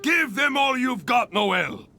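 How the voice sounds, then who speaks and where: An actor playing a hearty, grandiose adventurers' guild examiner in a video game, speaking in formal, exuberant flourishes. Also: male voice, very high voice, very animated, slow, sharp tone, soft background music, heavily acted. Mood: rousing, grand. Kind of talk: English video game voice acting.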